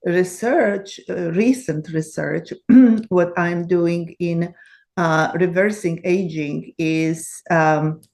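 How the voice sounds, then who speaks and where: A woman's voice: fairly low pitch, darkish tone. A woman in her seventies from Poland with a Polish accent, answering interview questions earnestly with many fillers and restarts. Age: 70s. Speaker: guest author.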